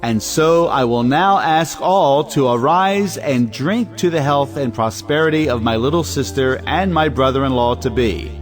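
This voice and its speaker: An actor playing a proud, self-important gentleman host in an audio play, speaking in formal, pompous oratory. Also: medium pitch, lively intonation, measured pace, hardly any pauses, soft background music, lightly acted. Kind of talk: theatrical toast-making.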